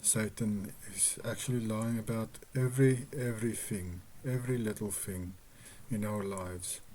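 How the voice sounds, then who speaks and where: Afrikaans-speaking guy, slow and earnest, kinda mellow voice, lots of restarts. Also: fairly low pitch, fairly even intonation, a little hiss or hum. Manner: earnest, measured, with hesitations and restarts. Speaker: religious video host. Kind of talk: slow personal teaching monologue.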